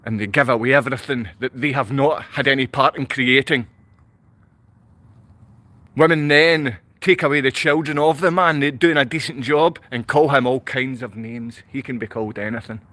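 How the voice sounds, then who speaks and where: A Glaswegian man speaks off the cuff to a livestream audience. His pitch sits in an average male range. He rambles, circles back on the same phrases and keeps throwing out rhetorical questions. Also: fairly even intonation, moderate pace, bright, forceful tone, dry recording. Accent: Glaswegian Scottish. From Glasgow, Scotland. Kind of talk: unscripted livestream monologue to viewers.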